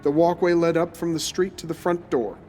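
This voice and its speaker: A man's voice: on the high side, steady tone, brisk, a little mellow, a little hiss or hum. A man reading scripted, descriptive story narration for an audio drama, like a storyteller. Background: music.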